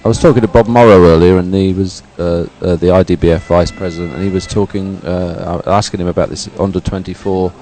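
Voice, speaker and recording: A man's voice: low voice, fairly even intonation, a little fast, few pauses, dark tone, faint music behind, low-quality recording. A man co-commentating live on a sporting event in a conversational, unscripted style.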